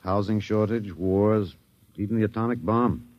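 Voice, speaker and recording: An actor playing a wry, detached, philosophical American academic, musing and hesitant, in a 1950s radio drama. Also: male voice, lowish voice, moderate pace, no echo, lightly acted. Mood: darkly amused. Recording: dull vintage 1950s radio recording.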